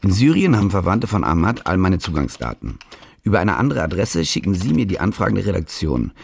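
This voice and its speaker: An actor playing a cynical, evasive journalist in a radio play, narrating and talking in a laconic, colloquial, deadpan way. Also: male voice, deep voice, a little fast, creaky, a little hiss or hum, full character voice. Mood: wry, laconic. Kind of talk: radio play first-person narration and dialogue. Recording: music behind the voice.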